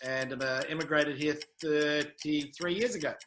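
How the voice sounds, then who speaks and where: Bright-voiced New Zealand man, dry answers. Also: a little high, fairly even intonation, unhurried, slight background noise. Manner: dry, short good-humoured answers with hesitant fillers. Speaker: interview guest, travel sales manager. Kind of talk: casual interview answers.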